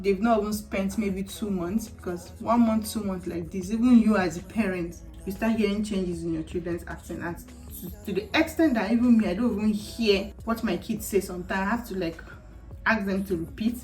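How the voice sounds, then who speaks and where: A woman in her thirties from Nigeria with a Nigerian accent, chatting casually to camera as a vlogger, animated, laughing often and slipping into Nigerian Pidgin phrases. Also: mid-range voice. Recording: heard from a distance, with faint music.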